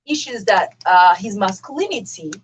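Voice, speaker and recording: A woman with a Nigerian accent speaks as a radio talk show host. Her voice has a slightly husky edge at a middling pitch. She is emphatic and opinionated, and often repeats a phrase to press her point. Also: animated, moderate pace.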